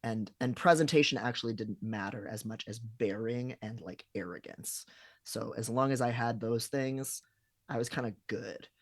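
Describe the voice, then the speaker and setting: A man's voice: mid-range voice, no echo. A man talking loosely on a live stream, stopping and restarting his sentences. His voice sits in an ordinary middle register. It is close and dry, with no room around it. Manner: halting, filler-heavy, frequent restarts. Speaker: stream co-host. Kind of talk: casual chat.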